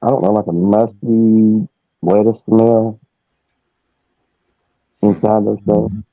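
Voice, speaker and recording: A man's voice: lowish voice, flat delivery, slow, no room sound. A man casually recounting personal experiences as a guest, rambling and searching for words. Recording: dry, thin phone-like line.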